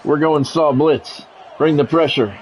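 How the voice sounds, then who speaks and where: A man's voice: on the high side, unhurried, a little mellow, a little hiss or hum, low-quality recording. A man doing play-by-play of his own football video game in short, blunt phrases, mixed with casual gamer talk.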